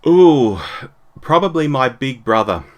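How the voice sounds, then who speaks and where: A man's voice: mid-range voice, lively intonation, measured pace. A man in his forties talking casually as a podcast guest in an interview. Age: 40s.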